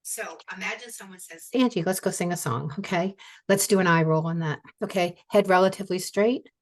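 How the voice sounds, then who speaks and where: Older lady on a video call, slow calm voice, pretty low, walks someone through tapping. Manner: slow, calm, repeats phrases for client to echo. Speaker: EFT tapping practitioner. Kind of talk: guided therapy session. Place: home office.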